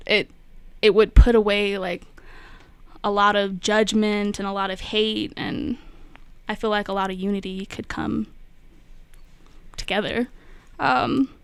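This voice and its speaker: Slow, soft-spoken young woman, reflective. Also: medium pitch, steady tone, gravelly, smooth, no echo. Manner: slow and reflective, many fillers. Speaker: poet, radio guest. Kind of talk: spoken word poetry and casual radio talk. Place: radio studio.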